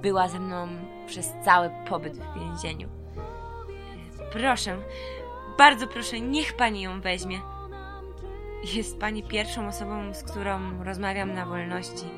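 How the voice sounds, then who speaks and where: A woman's voice: mid-range voice, a little slow, over music, lightly acted. An actress in an audio drama playing a vulnerable, hopeful woman fresh out of prison, speaking earnestly and hesitantly. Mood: grateful, pleading.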